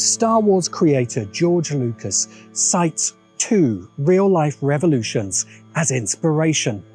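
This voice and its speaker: Mellow, higher-pitched British man narrating. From Britain. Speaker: video essayist, host. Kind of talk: scripted narration of a political film essay. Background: background music.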